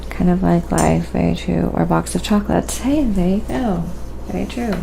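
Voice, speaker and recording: A soft-spoken woman live-streaming a quiet ASMR painting tutorial, chatting casually and sometimes dropping to a whisper. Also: fairly low pitch, moderate pace, vocal fry, background noise.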